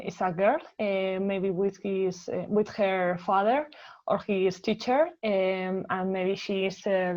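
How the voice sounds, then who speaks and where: A woman's voice: mid-range voice, a little mellow, muffled recording. A young woman from Spain with a Spanish accent, answering exam questions hesitantly with many filler sounds. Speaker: exam candidate.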